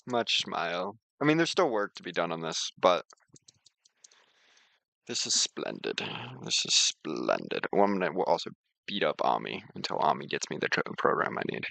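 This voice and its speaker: A man streaming a casual software walkthrough, explaining things in an informal, rambling way. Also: low voice, huge pitch swings, moderate pace, vocal fry, no room sound. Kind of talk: casual screen-share walkthrough and chat.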